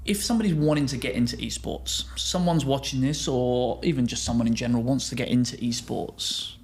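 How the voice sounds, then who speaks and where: A man's voice: mid-range voice, brisk, slight background noise. A man hosting a podcast, asking long, rambling interview questions full of "sort of". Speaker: podcast host.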